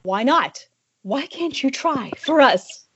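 A woman acting a hurt, exasperated wife who confronts her husband, speaking slowly with lively swings of pitch. It is a table read over a dull, slightly noisy call. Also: full character voice. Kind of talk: amateur table read of a screenplay.

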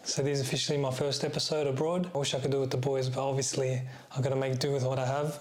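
A man's voice: mid-range voice, level tone, fast talker, a little mellow. A man hosting a podcast in a casual, conversational way. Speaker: podcast host. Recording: clear background noise and ambience.

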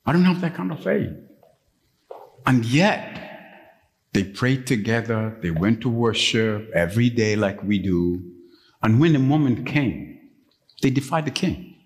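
A middle-aged man from Uganda preaching a sermon as pastor, telling stories and jumping up to high, emphatic peaks when stirred. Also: lively intonation, a little slow, long pauses. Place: church. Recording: long reverb of a church.

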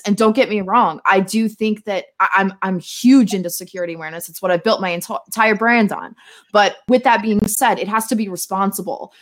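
A woman's voice: mid-range voice, a bit bright. A woman guesting on a podcast, chatting casually in an outspoken, sassy, informal way. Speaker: podcast guest, security marketer. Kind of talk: casual chat.

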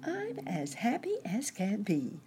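A woman voicing a jolly, carefree vagabond gentleman cricket in a storybook, chirping along cheerfully. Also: mid-range voice, wildly swooping pitch, slow, slight background noise, pitched above the performer's own voice, exaggerated voice. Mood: carefree, content.

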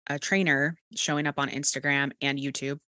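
A woman's voice: a bass voice, flat delivery, normal speed, sharp tone, dry recording. A woman with a Texas accent hosting an interview, talking candidly and encouragingly, with the odd casual swear. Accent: Texan. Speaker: podcast host.

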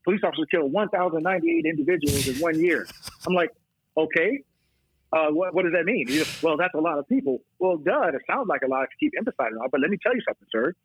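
A man talking about policing as a podcast guest, telling stories fast and emphatically with quoted exchanges. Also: a little high, animated, husky, no room sound. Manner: fast, emphatic storytelling with quoted back-and-forth. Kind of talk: casual podcast chat. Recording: tinny phone-line audio.

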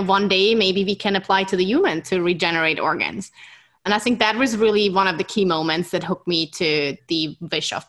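A woman with a German accent, a native German speaker, talking with excitable enthusiasm as a scientist guest in an interview. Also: medium pitch. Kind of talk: interview conversation.

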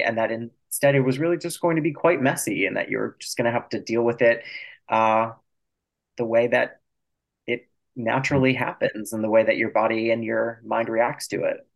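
A man's voice: mid-range voice, creaky, poor audio. A man speaking as an author guest in an interview, explaining things reflectively and choosing his words carefully.

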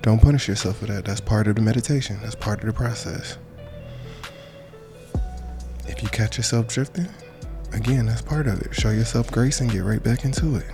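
A man's voice: on the low side, a little fast, creaky. A man guiding a meditation with informal prayer in a casual, colloquial, encouraging way. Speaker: meditation guide. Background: music behind the voice.

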